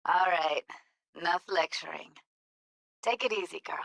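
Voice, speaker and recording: A woman's voice: lowish voice, a little slow, vocal fry, a bit bright, no echo, heavily acted, low-quality recording. A woman playing a guarded, streetwise young technician in a video game, speaking in a casual, slangy, blunt way. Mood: wistful, fond. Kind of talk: naturalistic video game voice acting.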